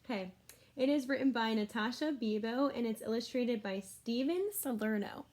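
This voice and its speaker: Female teacher, higher-pitched, expressive picture-book read-aloud for children, chatty and explanatory, speaks directly to listeners. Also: normal speed.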